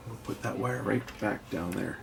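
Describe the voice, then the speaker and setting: Low, gravelly man narrating a repair. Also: moderate pace, background noise. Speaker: electronics repair video host. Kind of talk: casual hands-on repair narration.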